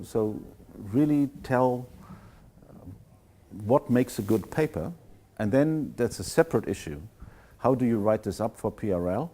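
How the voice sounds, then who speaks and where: A man's voice: medium pitch, warm tone. A man, the guest speaker, discussing after his lecture and thoughtfully searching for words.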